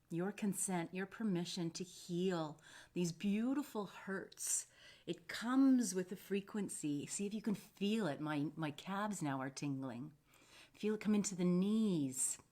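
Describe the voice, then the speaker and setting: Slow, low-voiced woman guiding meditation. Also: a little mellow. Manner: soothing, unhurried, meandering with pauses. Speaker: host and meditation guide. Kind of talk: unscripted live talk and guided meditation.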